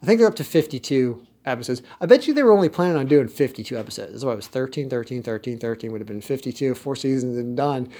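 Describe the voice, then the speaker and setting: A man's voice: medium pitch, lively intonation, quick pace, hardly any pauses, dark tone. A man ranting in a loose, joking way, laughing now and then and restarting his sentences. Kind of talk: casual rant.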